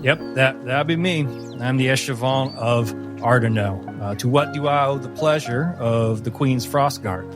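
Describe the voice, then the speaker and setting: A man's voice: mid-range voice, a little slow, few pauses, music in the background, lightly acted. A man playing a deferential, scornful village alderman in a fantasy tabletop game, stammering and eager to please in a folksy way. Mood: eager self-importance.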